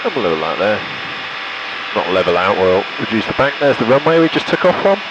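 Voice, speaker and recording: Male pilot, lowish voice, jokey and relaxed cockpit commentary, headset recording in a noisy small plane. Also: brisk, lo-fi sound. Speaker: pilot and vlogger. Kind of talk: casual chat and cockpit radio commentary. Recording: engine drone, radio headset in small plane.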